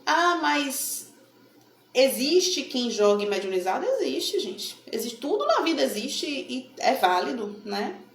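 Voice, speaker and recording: A woman's voice: high-pitched, very animated, takes it slowly. A middle-aged woman from Salvador with a Bahian accent, talking casually to camera in a room at home about her card readings, often adding tag questions. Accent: Bahian Brazilian Portuguese. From Salvador, Bahia, Brazil. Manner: chatty, frequent tag questions. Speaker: tarot reader and channel host. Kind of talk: casual explanatory talk to camera. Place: room at home with a plant and altar. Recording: echoey room, faint noise.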